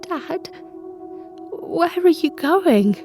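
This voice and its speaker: A woman's voice: extremely high pitch, lively intonation, extremely slow, a little mellow, faint music behind, pitched above the performer's own voice, exaggerated voice. A woman acting out a hopeful, imploring young girl begging her father in an audio drama. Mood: anxious. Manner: childlike, imploring.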